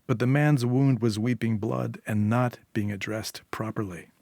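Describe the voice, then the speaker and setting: A man's voice: mid-range voice, fairly even intonation, a little slow, creaky, darkish tone, no echo, clean studio sound. A man reading a scripted short story as its narrator.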